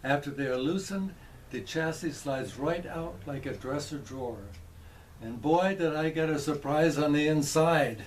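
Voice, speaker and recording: A man presenting a show-and-tell video in a folksy, deliberate way, with short careful phrases, theatrical exclamations and wry humour. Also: on the high side, slight background noise. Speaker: presenter, vintage radio collector.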